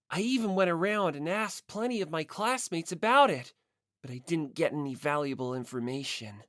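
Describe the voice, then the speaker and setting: A woman's voice: low voice, no echo, clean studio sound. A woman reading a first-person story as a scripted audiobook narration.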